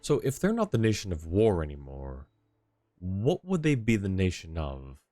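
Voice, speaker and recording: A man's voice: sits low, huge pitch swings, normal speed, warm tone, no echo, clean studio sound, soft background music. A man streaming a game with casual, playful and teasing commentary.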